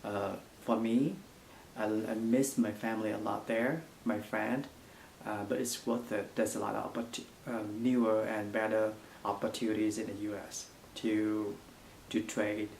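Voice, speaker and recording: A man's voice: fairly low pitch, little pitch movement, vocal fry, a little mellow, slight background noise. Man, Vietnamese accent, hesitant English, lots of ums, echoey room. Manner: halting non-native English with frequent fillers. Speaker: interviewee. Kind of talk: casual interview answers.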